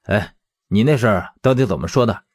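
A man's voice: on the low side, expressive, brisk, slightly rough. A man voicing a hot-tempered, righteous young former auxiliary policeman in an audiobook, blunt and aggrieved in manner. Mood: sheepish. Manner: blunt, indignant, swearing.

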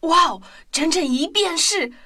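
A woman's voice: extremely high pitch, lively intonation, measured pace, bright, forceful tone, voice pushed up, heavily acted. A woman voicing an earnest, hopeful young boy in a children's radio play, exclamatory and wide-eyed. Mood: excited, amazed.

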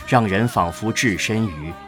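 A man's voice: lowish voice, unhurried, music in the background. A man narrating an audio drama in a scripted storytelling style.

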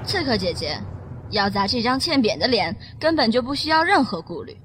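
A woman's voice: fairly high pitch, very animated, fairly quick, heavy background noise. A woman playing a cheeky young female companion who teases and taunts playfully. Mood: taunting. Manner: teasing, playful.

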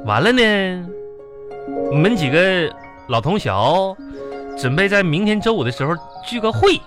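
A man's voice: sits high, sing-song, moderate pace. A man playing a henpecked middle-aged husband with a Northeastern Chinese accent in a comic radio drama, coaxing and mock-earnest. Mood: sheepish. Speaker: radio comedy host. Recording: music playing behind.

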